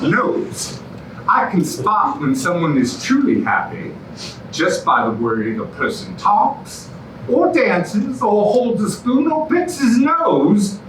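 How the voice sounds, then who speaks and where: Smug celebrity TV shrink, played by a man, high-pitched and self-congratulatory, for stage comedy; echoey, distant pickup. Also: noisy background. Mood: boastful. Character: pompous, self-adoring male TV psychologist. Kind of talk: musical comedy staged reading.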